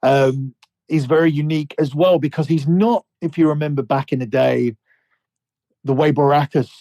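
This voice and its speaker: Mellow-voiced man explaining wrestling. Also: fairly high pitch, fairly even intonation, moderate pace, no echo. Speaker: podcast co-host. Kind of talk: casual wrestling analysis.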